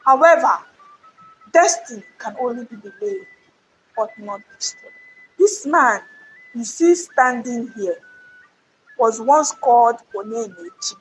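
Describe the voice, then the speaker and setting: A woman acts out a defiant, mighty man from a folktale. The voice is high, and the delivery is declamatory and full of solemn authority. Some noise sits behind it. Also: animated, slow, long pauses, rough recording. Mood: proud, declaring. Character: mighty, defiant male hero telling solemn riddling tales.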